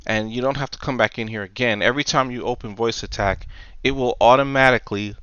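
A man presenting a software tutorial unscripted, explaining step by step in a halting way with pauses and repeats. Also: mid-range voice, fairly even intonation, clear, resonant tone, no echo, highs cut off. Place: indoors, dry voice-over for screen recording.

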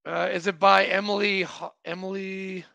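A man hosting a podcast, chatty and quick to jump in with asides. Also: sits high, level tone, creaky, sharp tone. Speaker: podcast host. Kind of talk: casual interview chat.

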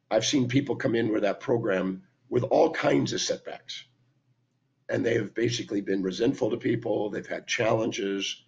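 Hoarse older male speaker, raspy and low, emphatic lecturer, dull webcam sound. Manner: emphatic, lecturing, lists points with hand gestures. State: hoarse from laryngitis. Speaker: speaker and teacher. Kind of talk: talking to camera, teaching talk. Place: indoor room at home.

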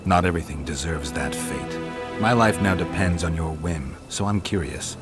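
An actor playing a stoic, gruff monster-hunting swordsman in a video game, terse and dry, with a gravelly voice. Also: very deep voice, normal speed, over loud music. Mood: dry, wary.

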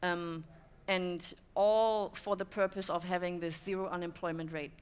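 A woman's voice: lowish voice, fairly even intonation, a little slow, clear, resonant tone. A German woman in her thirties giving a conference talk to an audience, thoughtful, with many "um" fillers. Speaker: speaker. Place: dim stone-walled room with audience.

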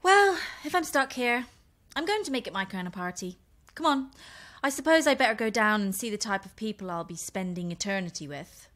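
An actress playing a self-absorbed, cheerfully oblivious romance novelist in an audio drama, grand and prim in manner. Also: female voice, medium pitch, animated, fairly quick, fairly bright tone, lightly acted. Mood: resolute.

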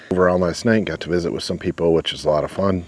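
A man in his forties hosting a casual camping vlog with dry, low-key humor, recorded outdoors at a campground on a lapel mic. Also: fast talker, a little mellow. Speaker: vlog host. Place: outdoor campground under a canopy.

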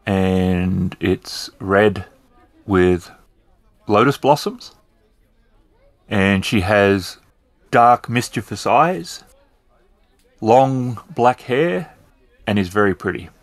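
A man's voice: lowish voice, very slow, long pauses, dry recording. A man improvising descriptive narration as the storyteller of a tabletop roleplaying game. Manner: descriptive, explanatory.

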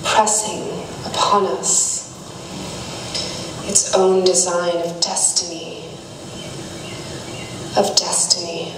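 Woman, spoken-word poetry, very slow, words repeated, distant, echoey hall, noisy background. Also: lowish voice, level tone. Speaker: poetry performer. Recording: echoey hall recorded from far off.

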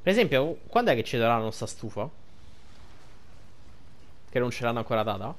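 A man's voice: mid-range voice, big pitch swings, brisk. A man giving colloquial, rambling, casual gameplay commentary. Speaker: gaming commentator.

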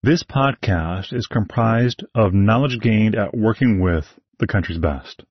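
A husky-voiced man with a somewhat low pitch delivers a scripted podcast talk, direct and advice-giving with dry, wry asides. The recording is dull and lo-fi, close and dry with no room sound. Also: a little slow, no echo. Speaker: podcast host, horse show announcer.

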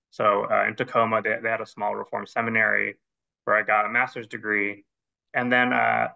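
A man's voice: medium pitch, gravelly, bright, forceful tone. A man in his thirties, from the Netherlands, speaking with an American accent as an interview guest over a video call, earnest and explanatory. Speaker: guest scholar. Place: plain indoor room. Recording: dull lo-fi video call audio.

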